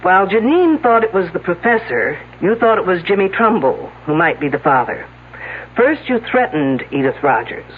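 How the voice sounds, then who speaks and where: A woman's voice: fairly low pitch, big pitch swings, moderate pace, a little hiss or hum. An actress playing a wry, daring female police detective confronting suspects in a radio mystery. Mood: pressing, accusing. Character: daring, sardonic female police detective laying out her case. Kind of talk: 1970s radio mystery acting. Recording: band-limited, tinny old radio broadcast.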